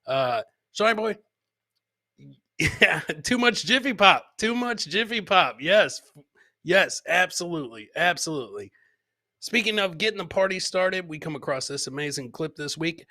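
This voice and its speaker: A man's voice: sits high, expressive, normal speed, pushed and bright, no room sound, studio quality. A man hosting a comedy podcast, commenting casually on clips in a loose way and often repeating his phrases.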